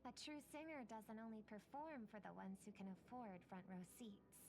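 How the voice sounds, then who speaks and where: A woman playing a polite, earnest singer in a video game, speaking in a measured, courteous and slightly careful way. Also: mid-range voice, expressive, darkish tone, lightly acted. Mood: earnest, curious. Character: polite, earnest female singer, cautiously probing. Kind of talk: video game character dialogue.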